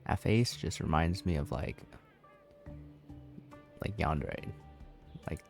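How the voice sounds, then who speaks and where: A young man chats casually over a video game in a laid-back, slangy way. His voice is very low and gravelly. Faint music sits behind him. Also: takes it slowly. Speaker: gamer commenting on gameplay. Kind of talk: casual gameplay commentary.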